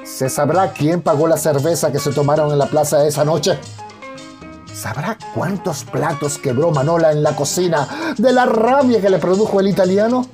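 A man's voice: on the high side, moderate pace, darkish tone, soft background music. A man narrating a radio soap opera in a dramatic, florid, suspenseful scripted style.

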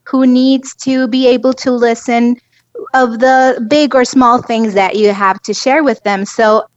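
A woman's voice: on the high side, fairly even intonation, a little slow, no room sound. A woman interview guest chatting casually in an earnest, gracious manner, on slightly lo-fi audio. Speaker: guest, sexuality coach.

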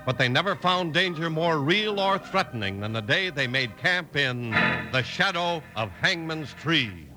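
A man's voice: fairly high pitch, expressive, a little slow, rough recording. A man announcing and narrating in an enthusiastic, emphatic 1950s radio style, reading scripted copy. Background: music.

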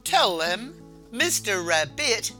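A storyteller voicing a small, timid but proud male rabbit with a squeaky voice, in a read-aloud story. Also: big pitch swings, slow pace, sharp tone, faint music behind, exaggerated voice. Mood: grand, indignant.

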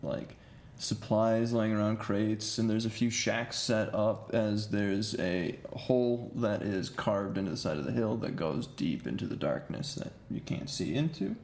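A man's voice: on the low side, unhurried, hardly any pauses, darkish tone. A man narrating as game master in a loose, casual way with fillers, recorded in an echoey room.

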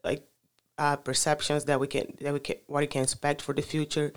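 Halting man, smooth voice. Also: a little high, completely flat delivery. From Brazil. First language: Portuguese. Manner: halting, searching for words with many fillers. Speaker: guest. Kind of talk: casual interview answers.

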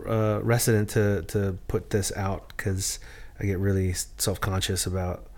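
A man co-hosting a podcast in casual chat, rambling and self-deprecating with halting restarts. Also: sits low, level tone. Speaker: podcast co-host.

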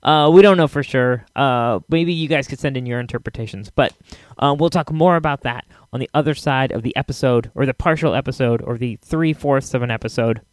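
A man's voice: mid-range voice, a little fast, no echo. A man hosting a podcast, introducing it in an informal, chatty way. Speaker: podcast host. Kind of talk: casual scripted-then-chatty podcast introduction.

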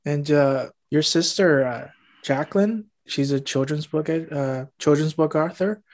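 A man's voice: on the high side, a little slow, darkish tone. A man hosting an interview, asking questions in an easygoing way with small fillers. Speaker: interview host. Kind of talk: casual interview.

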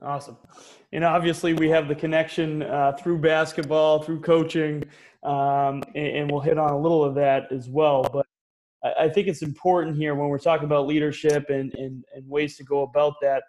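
A man's voice: a little high, level tone, normal speed, a little mellow. A man hosting an interview show, introducing his guest and asking questions. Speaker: podcast host, interviewer.

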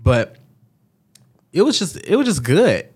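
A man's voice: a little high, big pitch swings, measured pace, husky. Southern guy from georgia rambling on a podcast, lots of likes and ums. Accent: Southern American. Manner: chatty storyteller, frequent likes and ums. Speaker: podcast co-host. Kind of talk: casual chat.

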